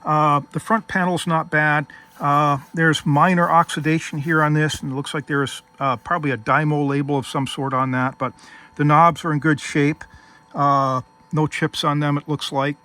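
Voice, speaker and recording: A man presents a casual hands-on demonstration as the host. His voice sits a little high and has a very bright, crisp edge. He explains each step methodically, in an informal way. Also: level tone, moderate pace. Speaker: host demonstrating vintage radio gear.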